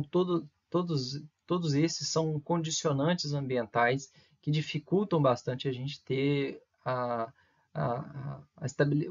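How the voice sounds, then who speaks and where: A man's voice: mid-range voice, darkish tone, husky, muffled recording. A man from in Brazil, lecturing to students in a hesitant way, with many fillers, restarts and tag questions. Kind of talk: recorded university lecture.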